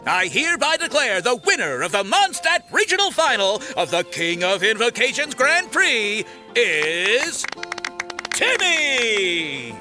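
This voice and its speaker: Slow, high-pitched grand tournament announcer. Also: male voice, big pitch swings, heavily acted. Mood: triumphant. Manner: declamatory, drawn-out. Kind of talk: video game event announcement. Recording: music behind the voice.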